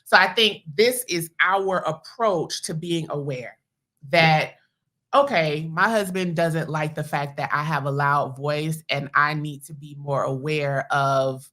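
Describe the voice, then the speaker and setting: Loud, emphatic Southern American woman. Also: deep voice. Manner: loud, emphatic, laughs often. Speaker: podcast host. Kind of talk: casual chat with her husband.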